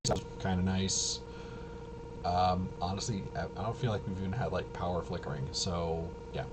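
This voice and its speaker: Casting: male streamer, lowish voice, loose rambling delivery that stops and restarts, noticeable background noise. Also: fairly even intonation. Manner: halting, self-interrupting, trailing off. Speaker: livestream host. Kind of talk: casual rambling livestream chat.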